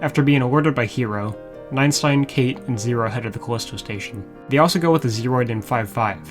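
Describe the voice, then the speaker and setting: A man's voice: mid-range voice, fairly quick. Guy doing a sarcastic episode recap, regular mid voice, music goin behind him. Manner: wry, sarcastic. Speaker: video reviewer and narrator. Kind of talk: episode recap narration with wry asides. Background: background music.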